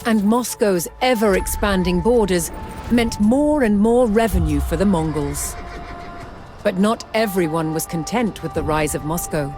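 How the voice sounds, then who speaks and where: A woman delivering scripted historical narration for a strategy game, in a measured storytelling manner. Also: mid-range voice, lively intonation. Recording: music behind the voice. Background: background music.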